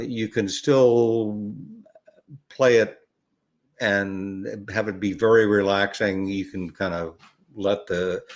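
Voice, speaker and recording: Older man, low voice, easygoing guest with many ums and restarts. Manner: rambling, halting with many fillers and restarts. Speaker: podcast guest, ukulele educator. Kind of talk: relaxed conversational interview.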